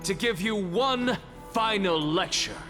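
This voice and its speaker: An actor playing an arrogant heretic professor in a video game, declaiming with theatrical scorn. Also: male voice, high voice, expressive, slow, over music. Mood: scornful, mocking. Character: arrogant male scholar, theatrical heretic professor lecturing an assembly. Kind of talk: dramatic video game voice acting.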